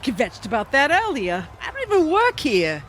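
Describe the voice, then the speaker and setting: A woman's voice: high-pitched, wildly swooping pitch, moderate pace, a bit bright, a little hiss or hum, full character voice. A woman acting a flirty, teasing, gossipy friend in a comedy audio play.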